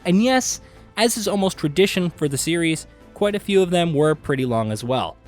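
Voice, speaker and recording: A man reading scripted video game list narration with an emphatic, punchy delivery and dramatic pauses. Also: fairly high pitch, animated. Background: background music.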